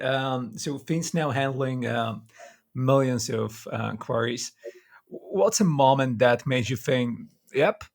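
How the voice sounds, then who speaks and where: Man, podcast interviewer, mid-pitched, heavy vocal fry, agreeable, conversational.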